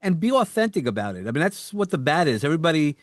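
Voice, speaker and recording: A man with a New York accent, a coach talking as a podcast guest, energetic and quick to repeat words and act out quotes. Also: on the high side, no room sound, clean studio sound. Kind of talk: casual interview chat.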